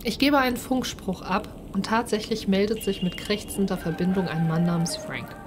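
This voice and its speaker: A woman narrating a first-person story in an expressive, dramatised way. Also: medium pitch, moderate pace, background noise. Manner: expressive, acts out the character's speech. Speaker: narrator and player of a solo role-playing game.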